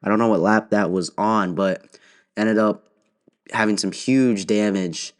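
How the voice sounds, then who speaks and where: A man's voice: lowish voice, fairly even intonation, a little slow. A young man with a cold, sniffling, hosting a casual solo race recap podcast with many fillers and restarts. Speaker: podcast host.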